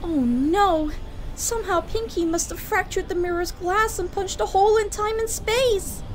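A woman's voice: very high-pitched, moderate pace. A woman voicing an earnest, bookish young female magical pony in a cartoon comic dub, speaking precisely and urgently. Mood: alarmed.

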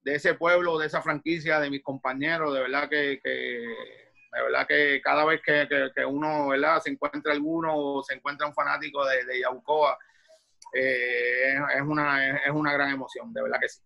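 A middle-aged man with a Puerto Rican accent, reminiscing haltingly and repeating words, on dull video call audio. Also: medium pitch, fairly even intonation, vocal fry. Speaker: retired baseball player, interview guest.

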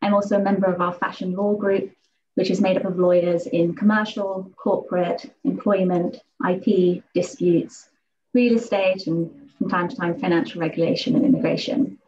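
A woman's voice: fairly low pitch, steady tone, moderate pace, warm tone. A woman lawyer from the UK introducing herself steadily on a video call panel. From United Kingdom. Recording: dull video call audio, faint noise.

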